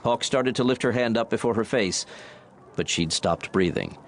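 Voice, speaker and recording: Low-voiced male audiobook narrator over background noise. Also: lively intonation, normal speed. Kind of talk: dramatic scripted audiobook narration.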